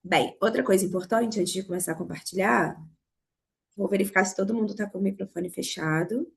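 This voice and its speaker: A Brazilian woman from Rio with a Carioca accent, hoarse from a cold, teaching a live online class on money, talking straight to her students. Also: mid-range voice, a little fast. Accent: Carioca Brazilian Portuguese. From Rio de Janeiro, Brazil. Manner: explanatory, addresses students directly. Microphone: video call.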